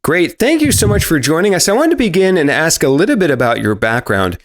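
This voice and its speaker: A man's voice: on the high side, big pitch swings, fairly quick. Upbeat male podcast host. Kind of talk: podcast interview.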